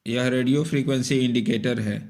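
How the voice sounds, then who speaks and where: A man's voice: mid-range voice, fairly even intonation, a little fast, a little mellow. A man presenting a repair tutorial in scripted, methodical instructional narration. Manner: methodical, step-by-step explaining.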